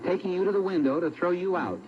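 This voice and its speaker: A man's voice: high-pitched, fast talker, muffled recording, noisy background. An American man hosting an old-time radio audience show, addressing guests formally and prompting them with questions on a dated broadcast recording. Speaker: game show host.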